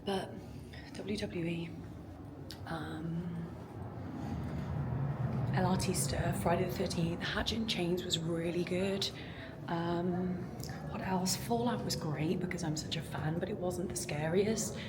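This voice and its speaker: A woman talks to camera for her travel vlog, her voice croaky and spent. It sits a little low, and she rambles chattily, often dropping to a near whisper. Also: takes it slowly, long pauses, very noisy. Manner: chatty, excitable, talking in a hush at times. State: hoarse, tired voice. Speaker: vlogger.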